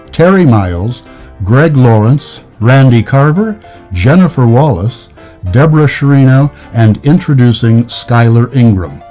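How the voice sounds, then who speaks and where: A formal announcer from old-time radio played by a man reads in a measured style. The voice is mellow and medium-pitched, over faint music. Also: lightly acted.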